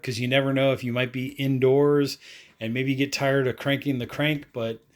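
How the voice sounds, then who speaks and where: A man with a medium-pitched voice presents a hands-on product review. He speaks informally and without a script, rambling a little as he explains each step and talks through his actions aloud. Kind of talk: casual unscripted product demonstration.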